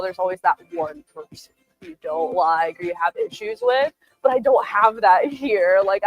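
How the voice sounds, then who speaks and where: A woman's voice: mid-range voice, creaky, muffled recording. A woman giving a casual, gushing testimonial, chatty with many fillers. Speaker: testimonial speaker.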